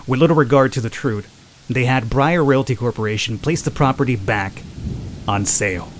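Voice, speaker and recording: A man reading visual novel narration aloud as a solemn horror storyteller, in a measured, dramatic way. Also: medium pitch, a little hiss or hum, lightly acted. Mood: solemn, ominous.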